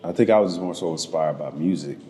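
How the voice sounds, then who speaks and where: A man's voice: sits low, brisk, dark tone. A young man from Georgia, a painter guest in a casual interview, talking laid-back with lots of slang and swearing. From Georgia, United States.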